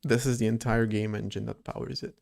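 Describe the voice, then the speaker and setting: A man's voice: lowish voice, little pitch movement, a little fast, creaky, a little mellow. A man streaming live while he programs, thinking aloud in a loose, rambling way and answering his chat as he goes. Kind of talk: casual livestream chat while coding.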